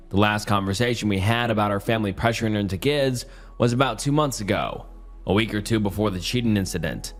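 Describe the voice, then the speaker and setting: A man reading Reddit posts aloud as a YouTube story narrator, his voice strained and partly going hoarse, in a casual, chatty delivery. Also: on the low side.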